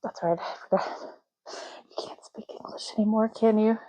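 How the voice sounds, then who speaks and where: A woman speaking softly in an ASMR roleplay, hushed, unhurried and gently explanatory, close to the microphone. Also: mid-range voice, fairly even intonation, no echo. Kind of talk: soft-spoken ASMR roleplay.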